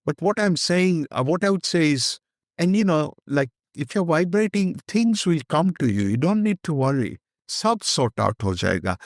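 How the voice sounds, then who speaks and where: A man's voice: a little high, very animated, normal speed, no echo, clean studio sound. An older man with an Indian accent, a guest speaking candidly and rambling in an interview, slipping Hindi phrases into his English. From Kenya.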